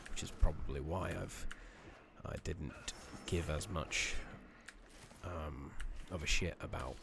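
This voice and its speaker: A man doing soft-spoken, partly whispered ASMR commentary over a video game, rambling casually with mild swearing, on a noisy makeshift microphone. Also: very deep voice, slow. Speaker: game streamer playing an online fantasy game. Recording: noisy makeshift microphone, clear background noise.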